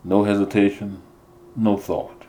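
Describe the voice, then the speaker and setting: Guy reading as a strict track coach, low mellow voice, bossy. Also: little pitch movement, unhurried, slight background noise, lightly acted, poor audio. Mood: instructive. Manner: commanding, insistent. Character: stern male track coach, firm.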